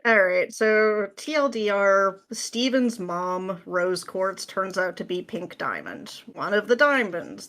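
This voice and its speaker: A woman guesting on a podcast, ranting casually with exasperated sarcasm and dramatic, mimicking emphasis. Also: medium pitch.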